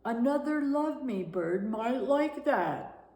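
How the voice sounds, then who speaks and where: A woman voicing a tired, patient male storybook companion who gives slow, deliberate advice. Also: on the high side, lively intonation, warm tone, pitched above the performer's own voice. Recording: roomy, echoing room sound.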